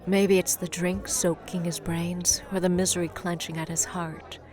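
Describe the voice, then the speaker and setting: A woman's voice: on the low side, little pitch movement, moderate pace, a crowd in the background, lightly acted. A woman acting as the grave, brooding narrator of an audio drama, reading dramatic scripted narration. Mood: somber, measured.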